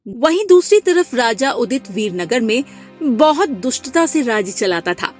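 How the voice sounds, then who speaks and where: A woman narrates a children's cartoon tale from a script. Her voice is on the high side with very expressive pitch movement, over light music. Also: a little slow.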